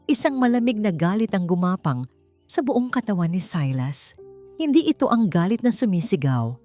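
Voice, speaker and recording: Low-pitched woman narrating drama over music. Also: a little mellow. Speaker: audiobook narrator. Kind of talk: dramatic scripted story narration. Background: background music.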